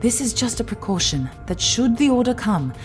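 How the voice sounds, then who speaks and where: An actress playing a stern, regal female leader in an audio drama, speaking in a deliberate, formal, commanding way. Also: a little high, big pitch swings, soft background music. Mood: firm, commanding.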